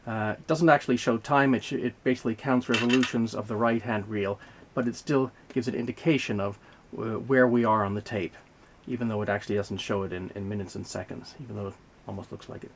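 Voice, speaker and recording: A man giving an unscripted, hands-on technical demonstration as a video host, explaining things in a measured, methodical way. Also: lowish voice, fairly even intonation. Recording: clear background noise, mechanical clicks.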